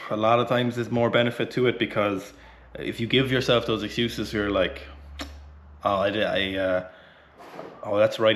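Irish male coach, 30s, slightly low pitch, casual, swears freely, sweaty between lifts. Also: a bit bright. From Ireland. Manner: blunt, chatty, swears casually. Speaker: weightlifting coach. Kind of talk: casual training vlog talk. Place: small indoor home gym, warm. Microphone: camera close in front.

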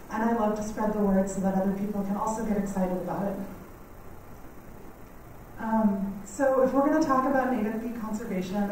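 A woman in her thirties with a quiet, slightly scratchy voice gives a friendly, chatty talk in a lecture hall, laughing often. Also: on the high side. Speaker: guest speaker on native bees. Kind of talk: community lecture. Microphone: standing mic heard from a distance. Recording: echoey hall, mic far off, faint noise.

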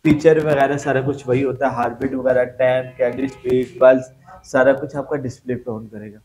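A man's voice: medium pitch, fairly even intonation, fairly quick, a little mellow, faint music behind, poor audio. A man with a Pakistani Urdu accent, working as a salesman, politely explaining treadmill features in a showroom and calling the host sir. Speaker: shop salesman. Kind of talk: product explanation. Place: indoor gym equipment showroom.

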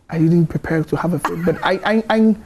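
Soft-spoken Ghanaian man with gravelly voice. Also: a little high, fairly quick, slight background noise. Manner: soft-spoken, hesitant, repeats words while thinking. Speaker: gospel musician guest. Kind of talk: studio TV interview. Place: bright TV studio.

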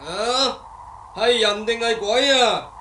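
An actor playing a greedy, leering fake monk, grudging and oily, in Hong Kong Cantonese radio drama. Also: male voice, very high voice, takes it slowly, sharp tone, heavily acted. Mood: grudging, suspicious. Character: greedy, leering bandit posing as temple monk. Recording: faint background noise, old radio drama.

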